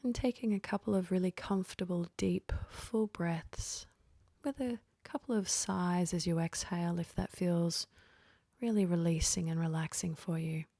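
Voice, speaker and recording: A woman leading a guided sleep meditation in a gentle, whispery, half-voiced delivery, leaving long pauses between phrases. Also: lowish voice, steady tone, dry recording, studio quality.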